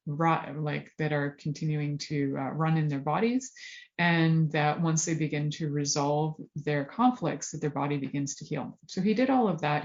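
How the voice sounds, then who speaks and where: Candid low-voiced woman, rambling. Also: darkish tone. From Serbian family background. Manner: candid, rambling, self-correcting, with laughs. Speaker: host. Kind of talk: casual monologue on health and sexuality. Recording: dull lo-fi sound.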